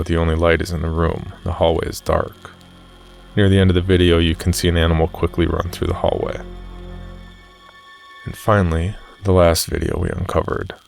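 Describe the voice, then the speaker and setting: A man narrating a scripted horror story in a measured, matter-of-fact way. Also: low voice, gravelly.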